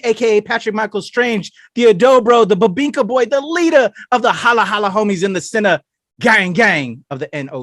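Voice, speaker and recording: Male podcast host: high, dry, hyped-up and chatty, hosting a guest on a video call. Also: no echo. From United States. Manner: hype-man energy, big shout-outs, loose and chatty. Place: home room full of toys and collectibles.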